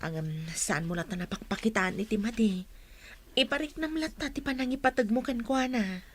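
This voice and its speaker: A woman playing a gentle, submissive mother with a Filipino accent and a husky voice, placating in a radio drama. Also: fairly high pitch, fairly quick, close to an everyday voice.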